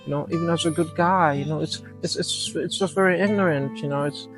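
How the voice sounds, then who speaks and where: A man impersonating a famous pop star in a comedic mock interview, rambling and filling every pause with "you know". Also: a little high, fairly even intonation, music in the background, lightly acted.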